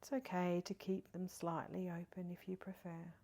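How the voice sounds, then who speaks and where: A woman leading a guided meditation in a soft, breathy, partly whispery voice, soothing and gentle with long pauses. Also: fairly low pitch, flat delivery. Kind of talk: guided body scan meditation.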